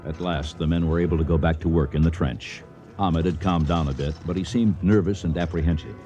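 A man's voice: low-pitched, steady tone, a little fast, muffled recording, soft background music, natural voice. A man playing a kindly, trusting older archaeologist and father in a radio drama, articulate and reassuring. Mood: narrating calmly. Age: middle-aged or older. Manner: reassuring, gently dismissive, articulate. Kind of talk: radio drama acting with first-person narration.

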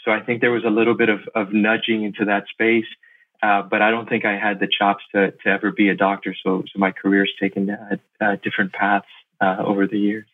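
A man's voice: on the low side, flat delivery. Middle-aged American man, phone-like sound. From Miami, Florida, USA. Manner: halting, repeats words while thinking. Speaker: podcast guest, lawyer and investor. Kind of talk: casual interview answers.

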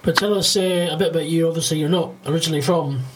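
Casting: male Scottish podcast host, fairly high pitch, rambling conversational interviewer, noisy ambient recording. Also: quick pace. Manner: rambling, circling back mid-sentence. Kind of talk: casual interview chat. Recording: clearly noisy, ambient background noise.

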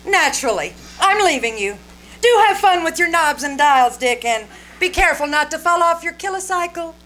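A woman's voice: extremely high pitch, lively intonation, moderate pace, fairly bright tone, low-quality recording. A woman playing a prim, tart young wife in an old-time radio comedy, arch, polite and theatrical. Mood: tart, icy.

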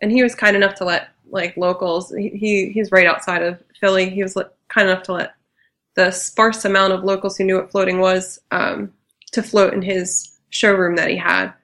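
A woman's voice: mid-range voice, little pitch movement, normal speed, a bit bright. A woman with a nasal voice, a guest on a podcast, talking casually about her business. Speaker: guest, float center owner.

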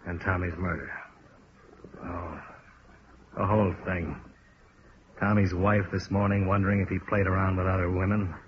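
An actor playing a dogged, terse insurance investigator in a 1950s American radio detective drama, with a clipped, understated delivery. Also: male voice, low voice, little pitch movement, normal speed, pauses often, lightly acted. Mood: terse, weary. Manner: clipped, hard-boiled, understated. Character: dogged, terse male insurance investigator narrating his case. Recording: muffled, noisy vintage radio recording.

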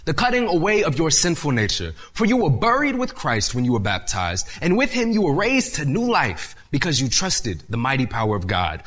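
A young man preaching a sermon to a church audience and reading scripture aloud, his voice slightly raspy, earnest and emphatic. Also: mid-range voice. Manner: earnest, lively delivery with rising emphasis.

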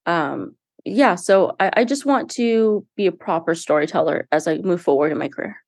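A woman's voice: on the low side, lively intonation, normal speed, dry recording, studio quality. A young woman, a singer-songwriter, talking earnestly and casually about her music in an interview, with frequent fillers and restarts. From United States. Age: young adult.

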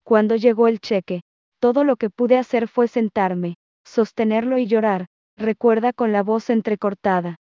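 A woman reading a text aloud phrase by phrase, then repeating each phrase slowly and calling out the punctuation marks. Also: on the high side, dry recording, muffled recording.

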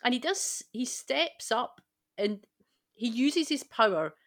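A woman's voice: a little high, expressive, slow. A woman on a discussion panel, speaking conversationally in an earnest, reflective way. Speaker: podcast panellist.

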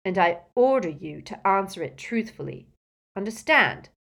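A woman voicing a fierce, impatient teenage boy hero in a storybook, forceful and demanding as he questions and gives orders. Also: mid-range voice, very animated, normal speed, vocal fry, exaggerated voice. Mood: stern.

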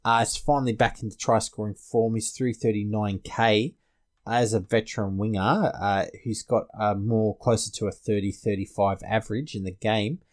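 A man's voice: fairly low pitch, steady tone, normal speed. A man hosting a casual sports podcast, chatting about fantasy picks. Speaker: podcast host.

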